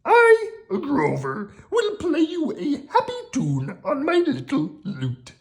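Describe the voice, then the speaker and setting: An eager, gallant furry monster, put on by a woman reading a storybook. The voice is pitched far above her own, slow and drawn out, gushing and theatrical. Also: wildly swooping pitch, exaggerated voice. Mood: earnest. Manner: high, wobbly, gushing and theatrical.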